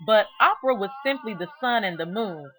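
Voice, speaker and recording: A woman narrates a children's storybook with a bright, forward voice at a medium pitch. Her delivery is deliberate and expressive, leaning on important words as a read-aloud storyteller does. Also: soft background music, low-quality recording. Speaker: storybook reader.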